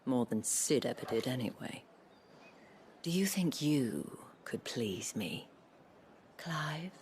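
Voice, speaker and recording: An actress playing a sly, elegant, teasing madam in a video game, with a sultry, half-whispered, genteel delivery. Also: female voice, a bass voice, very animated, takes it slowly, darkish tone, slight background noise, heavily acted. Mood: coy, seductive. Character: sly, elegant madam of a pleasure house, teasing and self-assured. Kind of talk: video game cutscene acting. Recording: music behind the voice.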